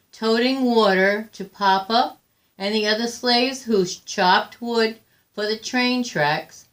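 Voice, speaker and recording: Slow, lively higher-voiced woman reading a story. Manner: deliberate, expressive phrasing. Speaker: reader of a picture book. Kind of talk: read-aloud children's storytelling.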